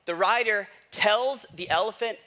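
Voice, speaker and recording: An American man giving a conversational sermon as a pastor, emphatic, repeating key phrases with pauses. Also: high voice, pushed and bright. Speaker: pastor preaching to a congregation.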